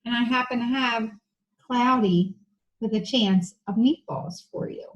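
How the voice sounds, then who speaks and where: A woman's voice: on the high side, a little slow, lo-fi sound. A woman reading a children's storybook aloud like an elementary teacher, with casual asides to the listeners.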